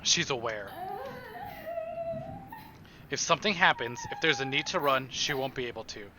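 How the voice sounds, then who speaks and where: A man's voice: mid-range voice, expressive, moderate pace, noisy background. A man streaming a game, reading narration aloud expressively and dropping in casual, playful asides.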